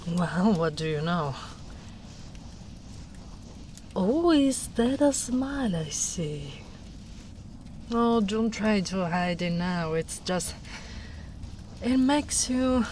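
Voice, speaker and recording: A woman voicing a gentle, protective big female ogre in a tender, soft-spoken ASMR roleplay, often slipping into whispers. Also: mid-range voice, animated, takes it slowly, slight background noise. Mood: warm teasing.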